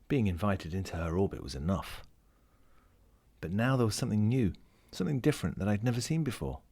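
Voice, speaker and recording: A man's voice: fairly low pitch, big pitch swings, brisk, creaky, a little mellow, husky, exaggerated voice. A man voicing a lonely, wry lost ring as he reads a first-person short story in a dramatic, melancholic way. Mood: wistful. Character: lonely, world-weary, wry sentient ring lost at sea.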